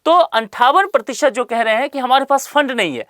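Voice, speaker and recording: A woman's voice: sits high, animated, a little fast, a bit bright, no room sound, clean studio sound. A woman explaining a survey report in a current affairs lesson, speaking to viewers with rhetorical questions and everyday examples.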